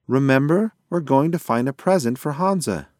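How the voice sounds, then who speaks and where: A man's voice: medium pitch, animated, normal speed, darkish tone, no echo, studio quality, voice pushed up, close to an everyday voice. A man voicing a spirited, bossy young female mouse in a children's storybook, speaking insistently. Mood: eager, insistent.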